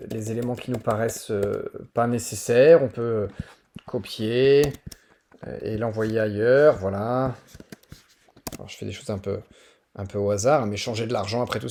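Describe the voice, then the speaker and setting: A man's voice: mid-range voice, darkish tone. A man from northern France with a French accent presents teaching resources in an informal, explanatory screen tutorial, often hesitating as he thinks aloud.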